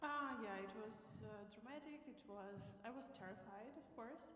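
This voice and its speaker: A woman from Ukraine with a Ukrainian accent, whose first language is Russian, telling her story as a guest in a church interview, halting with many fillers and restarts. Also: fairly high pitch, pushed and bright, echoey room, big-room sound.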